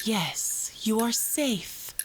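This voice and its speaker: An actress playing a calm, controlled psychologist who speaks in a measured, coaxing way in an audio drama therapy session. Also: female voice, a little high, whispered. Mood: hypnotic. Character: calm, soothing, controlled female psychologist hypnotist. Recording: noisy old cassette tape with hum.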